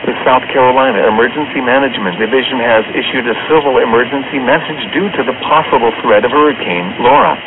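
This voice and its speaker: A man's voice: mid-range voice, quick pace, hardly any pauses. A synthetic-sounding man reading a formal, scripted emergency bulletin as a weather radio announcer. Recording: noisy, narrow-band weather radio broadcast.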